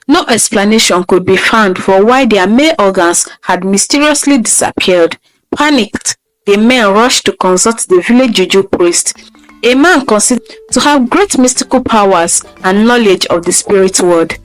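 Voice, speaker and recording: A woman's voice: mid-range voice, animated, normal speed, rough recording. A woman storyteller reading a scripted folktale narration. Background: music.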